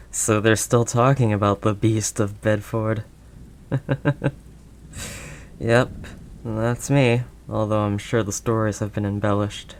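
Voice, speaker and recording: A man playing a protective older male werewolf loner, gentle and conversational, in an intimate audio roleplay. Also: fairly low pitch, fairly even intonation, slow pace, pauses often, a little hiss or hum. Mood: wry, amused. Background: rain ambience sound effects.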